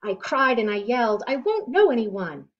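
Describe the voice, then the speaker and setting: A woman's voice: fairly high pitch, very animated, normal speed. Middle-aged woman reading aloud, dull lo-fi sound. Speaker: teacher reading a picture book aloud. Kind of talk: read-aloud storytelling of rhyming children's book. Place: living room at home, indoors.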